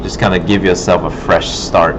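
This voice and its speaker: Deep-voiced man teaching, muffled noisy recording. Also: flat delivery, moderate pace. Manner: informal, thinking aloud while working. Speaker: art instructor. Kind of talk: casual classroom demo with running commentary. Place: classroom at an art academy. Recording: muffled, noisy broken classroom audio.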